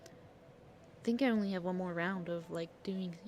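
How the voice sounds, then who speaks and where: A woman's voice: fairly low pitch, measured pace. A woman giving casual gameplay commentary as a streamer, talking to herself playfully and swearing casually. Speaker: game streamer.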